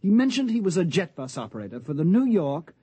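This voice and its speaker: High-pitched nervous shop clerk. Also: male voice, very animated, quick pace, mellow, slightly rough, dry recording, exaggerated voice. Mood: nervous, hesitant. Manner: hesitant, stammering, flustered. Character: nervous, eager, deferential male shop clerk. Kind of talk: 1950s radio drama acting.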